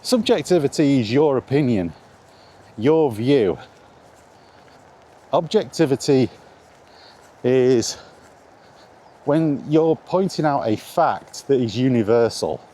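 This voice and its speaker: A man's voice: medium pitch, lively intonation, slow, pauses often, mellow. A middle-aged British man chatting casually and informally about his views in a meandering, mildly sweary vlog recorded outdoors. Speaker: video maker chatting about video games. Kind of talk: casual rambling vlog chat. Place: outdoors in the rain, walking. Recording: outdoor ambient noise, faint.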